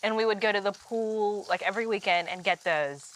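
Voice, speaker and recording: Young woman from Uganda, guest in a kitchen chat, easy laugh, lively storyteller. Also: mid-range voice, a little fast, poor audio. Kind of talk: casual chat.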